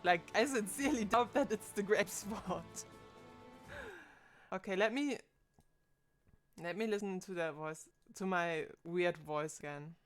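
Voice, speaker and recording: A woman's voice: on the low side, unhurried, long pauses, faint music behind. A woman in her twenties streaming live from home, chatting casually and thinking aloud into a desk microphone as she puzzles over her setup. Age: 20s.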